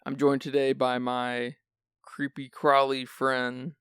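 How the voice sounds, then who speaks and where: A man's voice: medium pitch, monotone, slow, a bit bright, clear, resonant tone, no echo, clean studio sound. A man hosting a film podcast who sounds sleepy, chatting casually and rambling with restarts. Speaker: podcast host.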